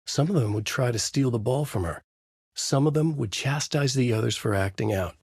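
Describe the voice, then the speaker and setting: An actor playing a brooding writer who narrates his dark thriller in a grave, deliberate, noir style, as in a video game. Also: mid-range voice, breathy edge, dry recording, clean studio sound. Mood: grim. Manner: grave, deliberate, moody storytelling.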